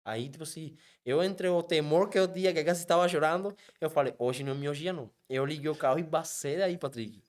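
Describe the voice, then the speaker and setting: Cuban guy talking fast, spanish accent, mixing in spanish words, voice sits a bit high. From Cuba. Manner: animated, quick, mixes Spanish words into Portuguese. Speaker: guest, app driver. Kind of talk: casual storytelling interview.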